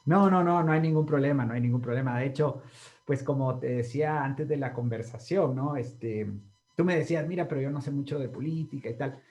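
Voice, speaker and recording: A man's voice: medium pitch, fairly quick, darkish tone. A man with a Guatemalan accent interviewing a guest, rambling with many fillers and tag questions. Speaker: interviewer, channel host. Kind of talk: casual interview.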